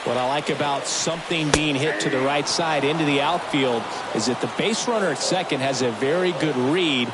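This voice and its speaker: A man performing as a baseball color commentator in a video game, explaining plays analytically over loud music. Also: fairly high pitch, normal speed, a loud crowd, very noisy, lightly acted. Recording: loud music and stadium sounds behind.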